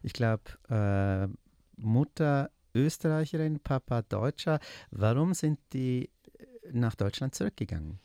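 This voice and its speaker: Male interview host, mellow tone, short leading questions, dry close sound. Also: medium pitch, expressive, unhurried, no echo. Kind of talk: conversational interview.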